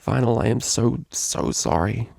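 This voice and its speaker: Storybook role: proper female pony cellist, anxious and polite, raised pitch, performed by a male narrator. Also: unhurried, vocal fry, a little mellow, slightly rough, no room sound, studio quality, full character voice. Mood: guilty, pleading. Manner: polite, careful, hesitant.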